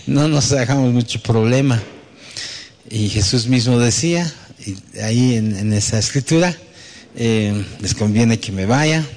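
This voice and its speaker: A man's voice: mid-range voice, unhurried, a little mellow. A man preaching as pastor to his church, speaking conversationally and often ending thoughts with a questioning tag. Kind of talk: sermon. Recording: long hall reverb.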